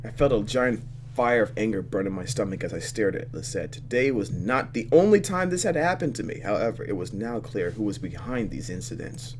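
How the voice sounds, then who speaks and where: A man doing expressive let's-play commentary, reading story text aloud with sarcastic asides. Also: lowish voice, fairly quick, slight background noise. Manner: expressive, sarcastic asides between read lines. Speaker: let's player, channel host.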